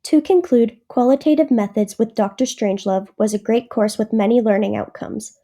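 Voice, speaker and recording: Young woman reading a school essay, dark-toned. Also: a little high, normal speed. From northern Ontario, Canada. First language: French. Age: young adult. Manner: reads a prepared script, formal and even. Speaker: university student. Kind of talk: scripted video essay narration.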